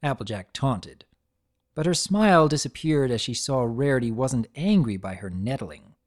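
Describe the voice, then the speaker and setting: Story narrator, dramatic scripted reading, lively intonation, animated delivery. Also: mid-range voice, moderate pace.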